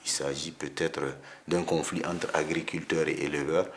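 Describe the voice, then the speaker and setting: A man gives measured, hesitant interview answers. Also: rumbling low, level tone, background noise.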